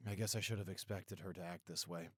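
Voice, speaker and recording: A man playing a caring, apologetic young boyfriend in an intimate roleplay audio, speaking earnestly and gently. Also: sits low, steady tone, quick pace, no room sound, studio quality. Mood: troubled, brooding. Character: apologetic, caring young boyfriend soothing his upset girlfriend.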